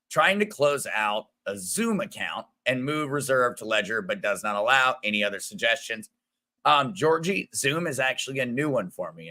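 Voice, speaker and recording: A man with a bright, smooth voice hosts a relaxed livestream. He greets viewers by name in a friendly way and rambles a little between comments. His tone is crisp and clear. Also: mid-range voice. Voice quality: smooth radio-like voice. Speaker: crypto livestream host. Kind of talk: casual livestream chat reading viewer comments.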